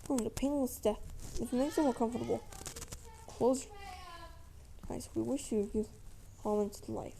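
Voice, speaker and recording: A woman delivering a mock emergency broadcast announcement in a halting way, repeating words. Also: a little high, vocal fry, mellow, a little hiss or hum, low-quality recording. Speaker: narrator of a mock emergency alert.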